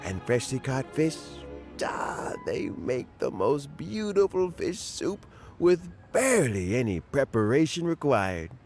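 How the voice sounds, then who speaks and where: An actor playing a kindly older man close to retirement, gentle and reminiscing, chuckling often. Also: mid-range voice, sing-song, unhurried, faint music behind. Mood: warm. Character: kindly old man near retirement, fond of fishing. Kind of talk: game voice acting.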